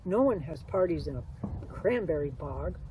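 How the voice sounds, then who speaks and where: A woman's voice: deep voice, expressive, normal speed, dark tone. A woman voicing a cautious turtle family announcing its plans in a storybook reading. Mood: resolved. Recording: distant mic, faint outdoor noise.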